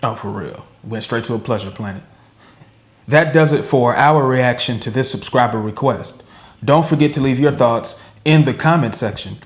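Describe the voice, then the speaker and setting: Measured male reaction co-host, medium pitch. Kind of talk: conversational commentary.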